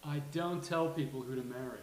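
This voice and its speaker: A man's voice: mid-range voice, a little fast, close to an everyday voice. A man playing a candid, dryly sarcastic, protective best friend in a live sitcom table read. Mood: dry, teasing. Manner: dry, earnest, with emphatic outbursts. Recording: roomy live venue with faint hiss.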